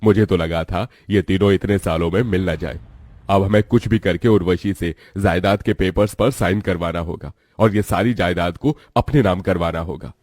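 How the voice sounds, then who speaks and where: An actor playing a greedy, falsely affectionate middle-aged uncle in a cartoon moral story, smooth-talking and calculating. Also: male voice, deep voice, moderate pace, a little mellow, dry recording. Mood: scheming.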